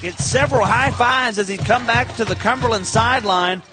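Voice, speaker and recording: A man's voice: extremely high pitch, moderate pace, loud music behind the voice. A man working as a radio announcer, giving live football play-by-play in clipped, descriptive phrases. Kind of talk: live sports play-by-play commentary. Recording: live broadcast with stadium background noise. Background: stadium ambience, faint noise.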